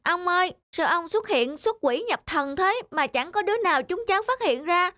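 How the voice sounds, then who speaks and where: Woman acting high-pitched mischievous young boy. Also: lively intonation, normal speed, pushed and bright, no echo, exaggerated voice. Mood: sheepish, curious. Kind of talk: animated moral story dialogue.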